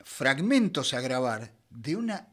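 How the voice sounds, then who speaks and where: Animated middle-aged Buenos Aires man. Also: medium pitch, slow pace. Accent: Argentine, Buenos Aires. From Argentina. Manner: expressive storyteller, acts out quoted dialogue. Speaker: interview guest, veteran voice actor. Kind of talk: conversational anecdote telling.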